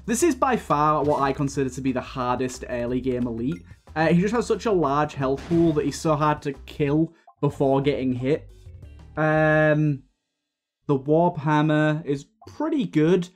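A man with a slightly raspy voice from a coming cold, chatting casually as he plays and comments on a video game, thinking aloud with plenty of fillers. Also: fairly high pitch, animated, a little slow. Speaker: gaming video host. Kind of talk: casual let's-play commentary. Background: faint game music.

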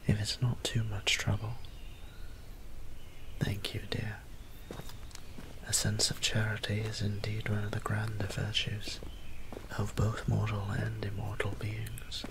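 A man playing a gentle, courtly young vampire priest in an ASMR roleplay, soft and breathy, with hesitant, formal, tender phrasing. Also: lowish voice, background noise. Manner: hesitant, tender, formal old-fashioned wording. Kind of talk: ASMR roleplay audio drama.

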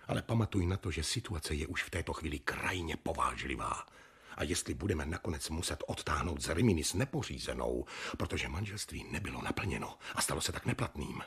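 Stern noble father, radio drama, very deep, very husky, cold, persuasive, hushed whispers. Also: exaggerated voice. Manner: coldly persuasive, often dropping to a hushed whisper. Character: stern scheming noble father, older man. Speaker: father of the bride.

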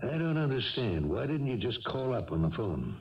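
An actor playing a self-assured police lieutenant with casual, slangy authority in a 1970s radio drama. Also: male voice, medium pitch, very animated, moderate pace. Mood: wry, lenient. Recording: dull, distant 1970s radio recording.

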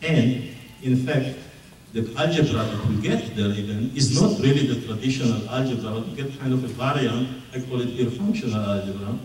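A man with an Israeli accent giving a slide lecture at a public forum, animated, with mocking rhetorical questions. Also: medium pitch, moderate pace, slight background noise. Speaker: presenter. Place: large echoey hall. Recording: echoey hall recorded from far off.

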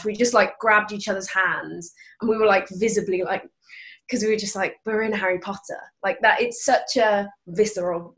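A young woman with a medium-pitched voice talks on a video call from home. She is casual and gushing, full of enthusiasm. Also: a bit bright. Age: young adult. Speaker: stage actress on a panel. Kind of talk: casual chat.

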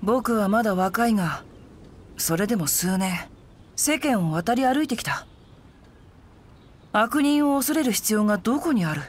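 A woman's voice: mid-range voice, animated, a little slow, pauses often, a little hiss or hum, natural voice. A woman voicing a refined, chivalrous teenage boy swordsman in a Japanese game dub, polite and composed. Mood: firm. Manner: polite, composed, literary phrasing. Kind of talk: Japanese anime-style game dub acting.

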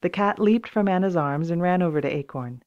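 A woman's voice: lowish voice, brisk, darkish tone, highs cut off. A woman reading scripted children's story narration as an audiobook reader.

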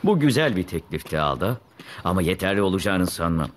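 A man's voice: low-pitched, very animated, fairly quick, a little hiss or hum. A man playing a big, earnest, plain-spoken villager in a dubbed cartoon. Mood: earnest, pleading. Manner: direct, earnest. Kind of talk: animated series dub. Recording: music under the dialogue.

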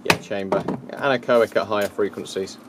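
A man in his thirties gives an informal technical explanation as a designer, matter-of-fact with hesitant pauses. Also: fairly low pitch, fairly even intonation. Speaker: loudspeaker transducer designer. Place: small indoor workshop test room. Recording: loud background hum and workshop noise.

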